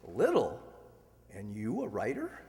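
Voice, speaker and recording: Man acting a needling witty ex-husband, animated pitch, sardonic, radio drama, long hall reverb. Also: unhurried, exaggerated voice. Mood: breezy. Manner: sardonic, teasing, theatrical. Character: witty, needling, urbane ex-husband, teasing and wounded. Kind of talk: amateur radio play acting.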